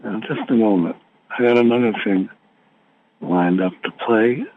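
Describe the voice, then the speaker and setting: A man speaks over a narrow telephone line with faint noise behind him. His pace is slow and halting, and he often searches for words. He sounds like the informal host of a spiritual call-in, at a middling pitch. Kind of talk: rambling informal spiritual talk. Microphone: telephone conference call. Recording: tinny phone line with background hiss.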